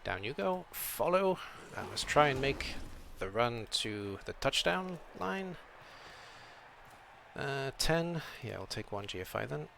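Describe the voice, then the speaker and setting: A man's voice: mid-range voice, sing-song, takes it slowly, vocal fry, a little hiss or hum. Welsh-born British man streaming a game. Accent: British with a slight European tilt. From Wales. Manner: chatty, reads chat aloud, thinks out loud. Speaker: streamer playing a video game. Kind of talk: casual live-stream commentary.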